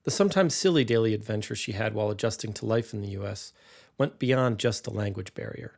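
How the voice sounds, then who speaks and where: A man's voice: fairly low pitch, creaky, a little mellow. A man reading scripted narration for a documentary-style profile.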